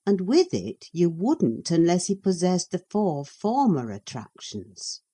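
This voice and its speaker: A sensible, down-to-earth woman servant in a storybook, read by a woman in close to her own voice. She reasons in a slow, measured way with a mellow tone and a lively rise and fall. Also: on the low side, slightly rough, heavily acted. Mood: reasoning. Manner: measured, reproving. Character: sensible, practical woman servant, cautious and superstitious. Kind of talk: audiobook character reading.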